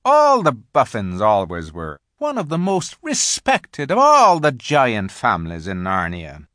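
Man playing courteous storybook faun, chatty, confiding, slightly snobbish, lively raised pitch. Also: measured pace, no echo, studio quality.

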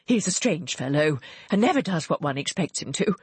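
A woman narrator plays a friendly young lord from a period novel. He speaks in a lowish, conciliatory way, amiably smoothing things over, in a dry close recording. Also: very animated, moderate pace, no room sound, heavily acted. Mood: soothing, amiable. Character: affable, soothing young English lord, younger brother.

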